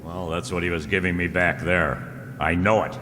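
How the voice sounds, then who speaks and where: Brief: male actor as sardonic, stubborn radio private eye, low and forceful, clipped delivery, recorded in a reverberant hall. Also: flat delivery, moderate pace, slight background noise. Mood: suspicious, insistent. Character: wisecracking, stubborn, suspicious male private detective. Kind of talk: old-time radio detective drama acting. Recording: echoey hall, long reverb.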